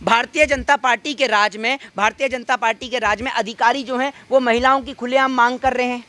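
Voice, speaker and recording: A man's voice: very high-pitched, steady tone, fairly quick, bright, forceful tone. A man arguing politics in a heated impromptu debate, insistent and repeating phrases for emphasis. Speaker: interviewee arguing political views.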